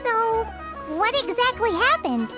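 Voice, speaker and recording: Tiny, squeaky, excitable fairy companion. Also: female voice, slow, exaggerated voice. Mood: curious. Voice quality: squeaky, childlike. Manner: refers to self in third person, exclaiming. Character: tiny childlike floating companion, chirpy and excitable. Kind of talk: video game voice acting. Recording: music behind the voice.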